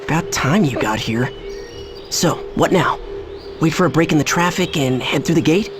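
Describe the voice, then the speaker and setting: A young man voicing a teenage hero with a wry, easygoing manner. His delivery is lively and full of swings. Loud game music plays under him. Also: on the high side, moderate pace, close to an everyday voice. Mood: casual. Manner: wisecracking, easygoing. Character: heroic, wry teenage boy leader.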